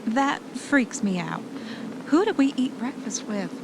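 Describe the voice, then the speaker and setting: A woman's voice: on the high side, very animated, unhurried, exaggerated voice. A woman playing a frightened, anxious wife in an amateur audio drama, earnest and questioning. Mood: weary, relieved. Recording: clearly noisy amateur recording.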